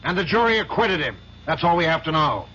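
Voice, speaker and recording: Bright, forceful domineering male boss. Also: on the high side, very animated, a little fast. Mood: angry, commanding. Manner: blustering, scolding. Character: indignant, domineering male law firm boss. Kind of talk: 1970s radio drama acting. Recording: dull, noisy 1970s radio broadcast.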